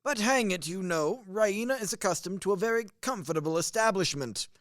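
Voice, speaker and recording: A man's voice: high voice, lively intonation, fairly quick, a bit bright, no room sound, studio quality. A man playing a blustering, easily impressed middle-aged major and father in a radio comedy, exclaiming as he goes. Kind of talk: stage-comedy radio play acting.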